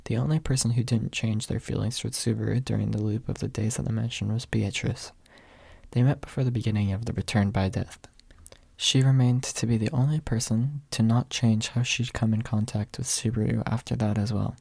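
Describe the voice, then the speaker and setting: A man reading a story softly as scripted ASMR narration, in a hushed, measured way with a husky, breathy voice. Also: on the low side, steady tone, no echo. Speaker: audiobook reader.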